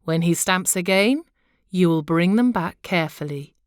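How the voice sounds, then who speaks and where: Kindly storybook king, commanding and unhurried, dry close recording, voiced by a woman. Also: fairly low pitch, lively intonation, no room sound, lightly acted.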